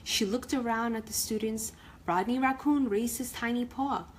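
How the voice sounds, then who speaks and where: A woman's voice: fairly high pitch, moderate pace, a little hiss or hum. A woman storyteller reading a picture-book story aloud for children.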